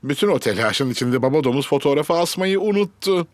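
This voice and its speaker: A man playing the storyteller of a children's cartoon, narrating clearly from a script. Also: on the high side, lively intonation, brisk, no room sound, clean studio sound, natural voice. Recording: music behind the voice.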